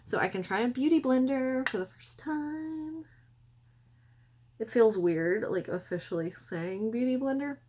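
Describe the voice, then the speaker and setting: Hoarse woman chatting through makeup. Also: on the high side, expressive, a little slow, a little mellow. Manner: chatty, rambling, self-correcting. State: hoarse, losing her voice. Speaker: beauty vlogger. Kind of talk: casual makeup tutorial. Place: room at home by a window.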